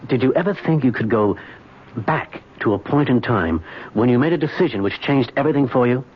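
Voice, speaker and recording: A man's voice: mid-range voice, lively intonation, a little fast, husky. An actor playing a weary, evasive middle-aged businessman in an old-time radio drama, speaking in a hesitant, pleading way. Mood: wistful, halting. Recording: muffled, noisy vintage radio recording.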